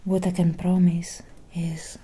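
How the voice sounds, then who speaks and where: A woman playing a centuries-old vampire doctor in a soft-spoken ASMR roleplay, speaking in a solemn, measured, breathy whisper. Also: fairly low pitch, little pitch movement, heavily acted. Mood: solemn, reassuring. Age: young adult. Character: centuries-old female vampire doctor, grave, gently caring.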